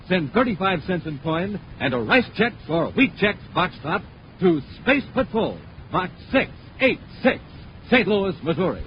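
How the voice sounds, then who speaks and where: An American man as an emphatic, showman-like 1950s radio announcer, reading scripted narration and sponsor pitches. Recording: muffled, noisy 1950s radio recording.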